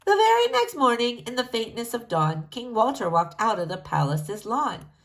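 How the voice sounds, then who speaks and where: A woman's voice: on the high side, very animated, moderate pace, fairly bright tone. A woman reading a rhyming children's story aloud, theatrically, with sing-song emphasis. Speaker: storybook reader.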